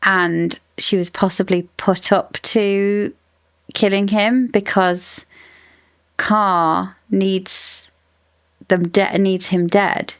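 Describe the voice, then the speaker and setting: A woman's voice: mid-range voice, fairly even intonation, very slow. A woman co-hosting a casual book-club podcast, thinking aloud as she builds theories. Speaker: podcast co-host.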